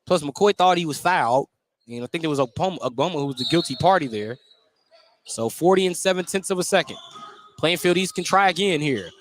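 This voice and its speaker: A man doing live radio play-by-play of a high school basketball game, describing the action in clipped running phrases. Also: lively intonation, fairly quick, slight background noise. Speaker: play-by-play announcer.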